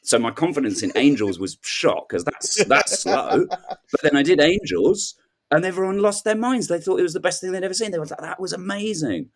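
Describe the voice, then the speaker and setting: A man's voice: a little high, animated, brisk. A man telling a comic anecdote as a podcast guest, sounding insistent and mock-bewildered.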